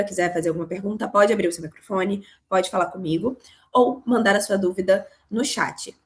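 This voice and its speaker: A Brazilian woman from Rio with a Carioca accent, hoarse from a cold, teaching a live online class on money, talking straight to her students. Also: fairly low pitch. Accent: Carioca Brazilian Portuguese. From Rio de Janeiro, Brazil. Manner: explanatory, addresses students directly. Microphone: video call.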